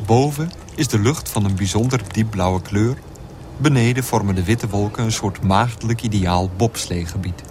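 A man's voice: fairly low pitch, normal speed, darkish tone, slight background noise, natural voice. A man playing a mock-grave narrator of an airborne farce in a scripted radio play. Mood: mock-grave narration.

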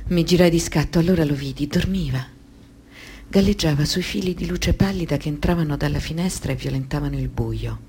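An actress playing an anguished woman who survived abuse, in an intense, deliberate radio-drama monologue. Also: low-pitched, mellow, a little hiss or hum. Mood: haunted, tense.

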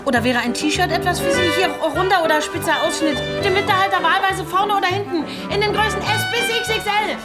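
A woman playing an earnest souvenir seller in a radio play, reeling off items in halting, insistent sales patter. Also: extremely high pitch, loud music behind the voice. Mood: eager selling. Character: earnest female souvenir seller pitching kitschy merchandise. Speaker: shop presenter. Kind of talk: home-shopping sales patter.

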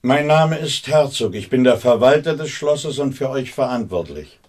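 Man as castle steward, curt, formal, stern, mid pitch, radio drama. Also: animated, moderate pace, darkish tone, natural voice.

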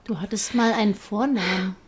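An actress as a little bird in a literary radio play, with a medium-pitched female voice. It speaks bluntly and pertly, needling and teasing like an old companion. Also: steady tone, normal speed, husky, natural voice. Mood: probing, teasing. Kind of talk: German literary radio drama acting.

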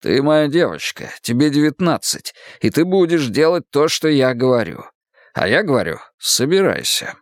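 A man's voice: fairly high pitch, very animated, moderate pace, no echo, studio quality. A man voicing a stern, wounded and unyielding father in a dramatised audiobook, speaking firmly and bluntly in exasperation. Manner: firm, blunt, commanding.

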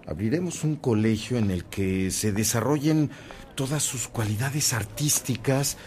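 Casting: man playing devoted, good-humoured priest, radio play, declamatory delivery, mid pitch, some noise behind. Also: unhurried, darkish tone, natural voice. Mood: earnest. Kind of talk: radio drama acting.

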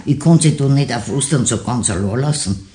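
A chatty Bavarian cleaning lady in a radio play. Her speech swings up and down in pitch, gushing and fussy, in a roomy studio. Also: expressive, fairly quick, dark tone. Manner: chatty, exclaiming. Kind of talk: radio play acting for children.

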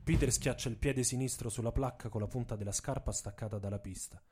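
A man's voice: medium pitch, a little fast, darkish tone, breathy edge, faint music behind. A man narrating radio theatre as a dramatic scripted story, with a theatrical, intense delivery.

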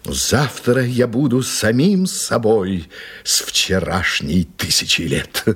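An actor in an audio play as a curious, wry gentleman with a husky voice and a theatrical, conversational delivery. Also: on the low side, very animated, slow pace, noisy background, heavily acted. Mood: wryly amused. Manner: theatrical, wry, hushed exclamations and murmurs. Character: curious, wry gentleman eagerly listening to an inspector.

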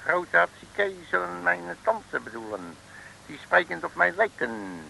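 An actor playing a sly, cheeky detective in an old Dutch comic radio serial, talking slangy mock pidgin as a pretend mandarin. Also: male voice, high-pitched, big pitch swings, a little slow, gravelly, sharp tone, a little hiss or hum, full character voice, low-quality recording. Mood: cheeky, scheming.

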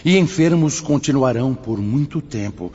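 A man's voice: medium pitch, animated, normal speed, dark tone, slightly rough. A Brazilian man acting in a radio drama as a solemn, authoritative spirit mentor, declaiming like a preacher. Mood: firm, instructive. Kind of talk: radio drama acting, oratorical sermon-like address. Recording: muffled, noisy, long hall reverb.

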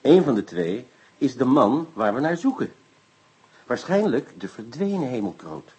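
A brusque police inspector in a radio drama. His voice is very husky and swings widely in pitch, impatient as he cuts in and repeats himself. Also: very animated, measured pace, warm tone, full character voice. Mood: musing, tense. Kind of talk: radio play acting.

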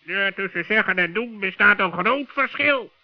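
A man's voice: high-pitched, moderate pace, dry recording. A man playing a chatty, suspicious, blundering male raven in a children's radio play. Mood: sceptical. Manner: blurting, excitable, suspicious. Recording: dull, dry old radio recording.

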